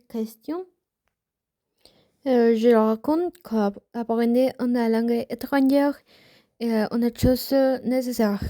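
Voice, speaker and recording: Slow, hesitant Spanish-accented young woman. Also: on the high side, warm tone, no echo, studio quality. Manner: slow and hesitant, with pauses and self-corrections. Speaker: language student. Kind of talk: prepared spoken assignment answering questions.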